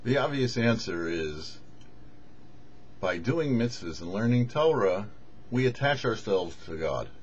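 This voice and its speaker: A man, a rabbi, giving scripted religious teaching with deliberate, emphatic phrasing and pauses. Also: fairly low pitch, animated, lo-fi sound, a little hiss or hum. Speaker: rabbi, host of a weekly Torah show.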